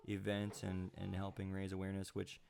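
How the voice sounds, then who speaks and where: A man's voice: low-pitched, monotone, a little fast, creaky, a little mellow, slight background noise. A man hosting a casual live stream from home, sounding congested and rambling with lots of fillers and little chuckles into a computer mic. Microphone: home computer mic with high gain.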